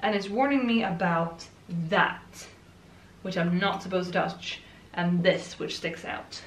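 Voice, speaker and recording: A woman's voice: fairly low pitch, a little slow, a little hiss or hum. Swedish woman chatting in an echoey room. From Sweden. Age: 20s. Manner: chatty, rambling, laughs often. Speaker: book vlogger. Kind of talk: casual chat while assembling furniture. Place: room at home. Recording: echoey room, camera at some distance.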